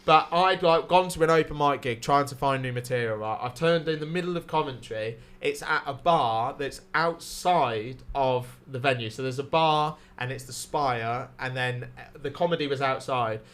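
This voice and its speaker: A young man from Wales with a southern English accent, telling sweary, animated stand-up stories on a casual podcast. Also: medium pitch, moderate pace, hardly any pauses. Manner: sweary, animated anecdotes with acted-out quotes. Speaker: stand-up comedian guest.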